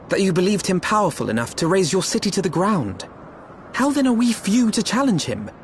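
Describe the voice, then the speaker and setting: A man's voice: high-pitched, a little hiss or hum. An actor playing an earnest, troubled young nobleman in a fantasy game, speaking in formal, eloquent, questioning phrases. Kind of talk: archaic fantasy game cutscene acting.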